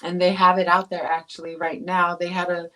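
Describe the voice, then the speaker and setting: A woman's voice: on the low side, flat delivery, fairly quick, fairly bright tone. A woman from Tonga, a guest pro boxer, talking casually in an interview, with rambling phrasing full of fillers and restarts.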